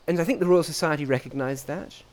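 A man giving reflective interview answers as a scientist, speaking in articulate, measured academic phrases. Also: fairly high pitch, darkish tone. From grew up in Portugal, England and the US. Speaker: interviewee, scientist at a research institute.

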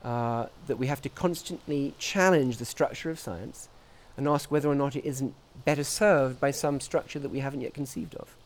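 Guy scientist being interviewed, thoughtful and articulate, medium pitch, academic wording. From grew up in Portugal, England and the US. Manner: articulate, measured, academic phrasing. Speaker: interviewee, scientist at a research institute. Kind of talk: reflective interview answers.

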